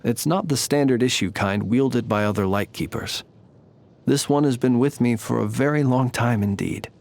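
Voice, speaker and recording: A male actor plays a courtly, enigmatic knight from a fantasy video game, with a mid-pitched voice. He speaks formally and eloquently, with the modest, old-fashioned manners of a gentleman who keeps watch with his lamp. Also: moderate pace, vocal fry, lightly acted. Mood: wry, modest. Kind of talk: scripted game character voice lines.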